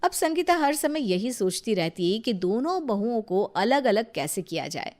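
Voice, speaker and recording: A woman's voice: fairly high pitch, big pitch swings, unhurried, darkish tone. A woman narrating a scripted moral story as its storyteller.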